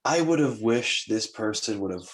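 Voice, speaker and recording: A man's voice: on the low side, animated, normal speed. A man chatting as a filmmaker in a casual group discussion, informal and tentative, with a self-deprecating way of making his point.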